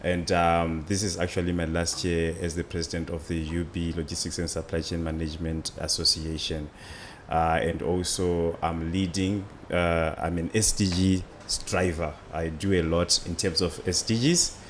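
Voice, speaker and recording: A man's voice: sits low, little pitch movement, normal speed, a little hiss or hum. A man in his twenties with a Zimbabwean accent, telling his story conversationally as an interview guest. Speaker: interview guest, student entrepreneur.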